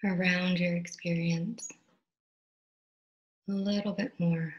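Low-pitched woman guiding a meditation. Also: flat delivery, muffled recording. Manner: soft, unhurried, with long pauses between phrases; gentle, instructional, soothing. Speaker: meditation teacher. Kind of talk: guided meditation.

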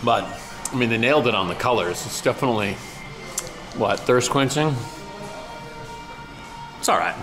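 A man's voice: medium pitch, lively intonation, moderate pace, pauses often, over music. A middle-aged man chatting casually and playfully as a vlog host, talking to a camera held at arm's length. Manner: playful, joking. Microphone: action camera at arm's length.

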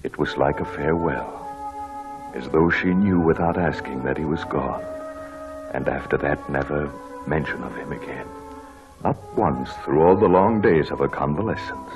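Radio play gentleman husband: deep, courteous, tender; dull vintage broadcast. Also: moderate pace. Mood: wistful. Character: well-bred gentleman husband, genial and tender. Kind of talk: 1940s radio drama acting. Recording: dull old radio broadcast, music behind.

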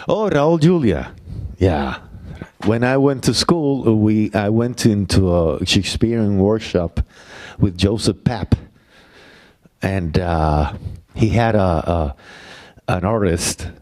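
Slow Puerto Rican man, low voice. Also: animated, mellow. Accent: Puerto Rican Spanish. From Puerto Rico. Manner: halting, many fillers and restarts. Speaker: playwright and actor answering audience questions. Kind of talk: Q&A talk after a play. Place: university auditorium stage. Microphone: handheld mic.

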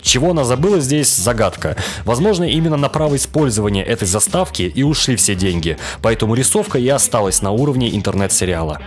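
A man's voice: mid-range voice, expressive, quick pace. A young man from Russia delivering a scripted, wry review as a voice-over. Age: young adult. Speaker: video reviewer. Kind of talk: scripted cartoon review narration. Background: background music.

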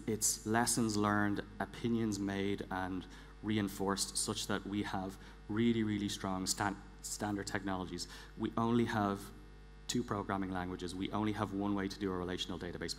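A man in his forties giving a prepared conference talk on stage in an emphatic, deliberate manner, repeating words for weight. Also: on the low side. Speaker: conference speaker, engineering director. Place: large theatre stage, indoors. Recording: echoey large hall, clear background hum.

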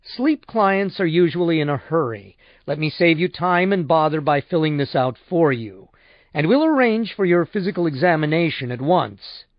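A man's voice: a little high, animated, normal speed, dry recording, dull audio, close to an everyday voice. Man playing a pompous, swooping insurance salesman. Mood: reverent, unctuous. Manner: pompous, deferential, fussy. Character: unctuous, easily shocked male insurance salesman.

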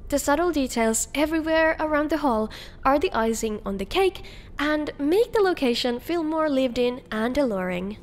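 A woman hosting a video, reading scripted narration in an upbeat, enthusiastic presenting manner. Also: sits high. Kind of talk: scripted narration of game mod showcase.

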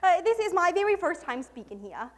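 Gushing, high-pitched suburban mother. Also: very animated, a little fast. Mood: nervous, gushing. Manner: theatrical, effusive, self-congratulating, stammering. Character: nervous, gushing, proud middle-aged suburban mother. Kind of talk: dramatic monologue in a speech competition.

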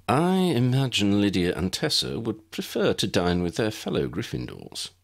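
A man's voice: lowish voice, huge pitch swings, normal speed, creaky, full character voice. A man voicing a very old, courtly wizard uncle in an audiobook, formal, pompous and wry. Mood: droll, pompous. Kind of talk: audiobook character voice.

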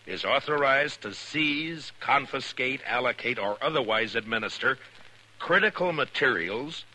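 An actor playing a firm, dry Old West marshal in a 1950s radio western, speaking with deliberate authority. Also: male voice, medium pitch, expressive, sharp tone, heavily acted, poor audio. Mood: determined. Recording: hissy 1950s radio broadcast.

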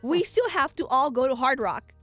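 A woman's voice: high-pitched, animated, normal speed, fairly bright tone, no echo, faint music behind. An American woman reading a note aloud as a co-host on a radio show. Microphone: close studio microphone.

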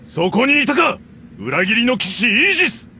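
A man voicing a hostile knight soldier in an anime game, accusing and forceful. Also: very high-pitched, very animated, normal speed. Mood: menacing. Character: hostile male knight soldier hunting a traitor. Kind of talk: Japanese anime game voice acting. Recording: loud background music.

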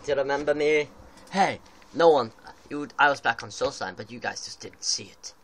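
A man's voice: mid-range voice, moderate pace, fairly bright tone. A young man joking and teasing a friend while making a game character at home. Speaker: gamer playing with a friend. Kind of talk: casual banter over gameplay. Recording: home video with faint background noise.

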